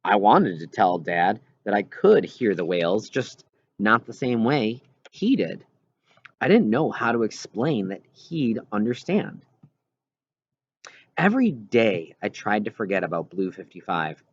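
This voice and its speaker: Animated male storybook narrator, slightly dull recording. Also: fairly low pitch, a little slow, husky. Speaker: audiobook reader. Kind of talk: scripted narration of a children's novel.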